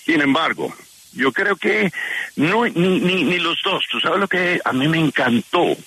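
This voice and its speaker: An older man from New York, a former diplomat, speaking Spanish with an American accent as a native English speaker, chatty and expressive with many fillers, answering radio interview questions. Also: fairly high pitch, a little slow, breathy edge, no echo, poor audio. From New York, USA.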